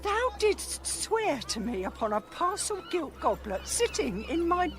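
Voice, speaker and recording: An indignant poor widow in radio Shakespeare. The voice is high and swoops widely, with slow, drawn-out complaints that repeat and exclaim. She is long-suffering and scolding. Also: big pitch swings, full character voice. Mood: pleading. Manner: garrulous, repetitive, exclamatory.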